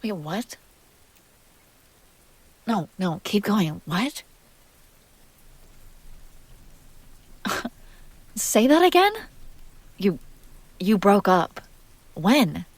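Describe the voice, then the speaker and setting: A woman playing a jealous, hurt young woman confronting her crush in an intimate audio roleplay, halting, sarcastic and emotionally raw. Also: medium pitch, very animated, slightly rough, no echo, heavily acted. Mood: incredulous, indignant.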